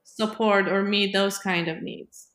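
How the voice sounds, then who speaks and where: Female discussion voice, measured and analytical, reflective delivery, noticeably roomy sound. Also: mid-range voice, steady tone, a bit bright. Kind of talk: reflective explanatory conversation.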